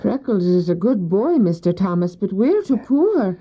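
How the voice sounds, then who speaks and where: A woman's voice: mid-range voice, big pitch swings, moderate pace. An actress playing a kindly, worried, middle-aged neighbour mother in an audio drama, with a folksy, plain-spoken manner. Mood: worried, apologetic. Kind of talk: homely radio drama acting. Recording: dull old cassette, faint music.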